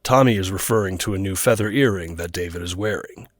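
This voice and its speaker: A man's voice: deep voice, quick pace, no echo, studio quality. A man reading scripted dramatic narration for an audio drama.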